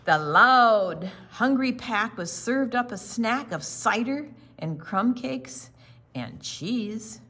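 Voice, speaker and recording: A woman's voice: a little high, animated, slow pace, pushed and bright. A woman reading a picture book aloud to young children, expressively, with emphatic words and little explanations along the way. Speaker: children's storytime reader.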